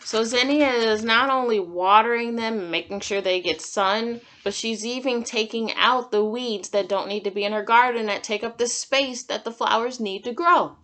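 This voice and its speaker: A woman's voice: a little high, normal speed, few pauses, fairly bright tone. A woman teacher reading a picture book aloud to young children, adding simple, encouraging comments and questions as she goes. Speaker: kindergarten teacher.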